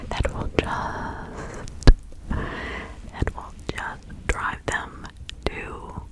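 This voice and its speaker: A woman playing a kindly, protective village witch and spiritual healer in a whispered ASMR roleplay, hushed, soothing and incantatory. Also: very slow, poor audio. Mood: solemn, incantatory.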